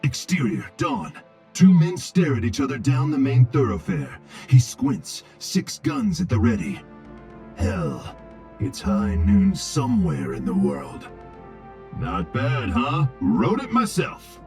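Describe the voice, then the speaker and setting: An actor playing a vain, grumbling robot film director from a game, with acid-tongued, theatrical Hollywood patter. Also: male voice, fairly high pitch, huge pitch swings, slow, gravelly, a little mellow, faint music behind, full character voice. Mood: wry, dramatic.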